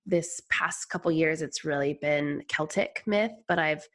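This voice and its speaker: A woman speaking as a podcast guest in a reflective conversation, thoughtful and halting as she searches for words. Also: low-pitched.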